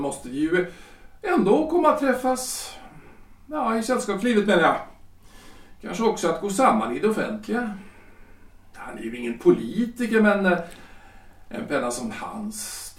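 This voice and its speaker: An actor playing a self-important, flustered lawyer-politician husband in his forties in a period audio drama, halting and repeating himself. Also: high voice, far from the mic. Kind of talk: period stage-play audio drama acting. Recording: roomy echo, off the mic.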